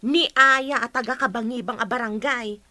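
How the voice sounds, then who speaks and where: A woman's voice: a little high, fairly even intonation, moderate pace, pushed and bright, dry recording. A woman playing an eager, guileless young daughter who tattles, in a radio drama, with an Ilocano Filipino accent. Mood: tattling.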